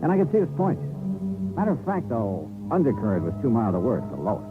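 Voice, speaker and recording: A man's voice: medium pitch, huge pitch swings, brisk. An American man playing a wry, easygoing private investigator in a 1950s radio detective drama, with dry first-person delivery. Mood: wry, matter-of-fact. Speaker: narrator and lead actor. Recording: old tinny radio recording, music behind.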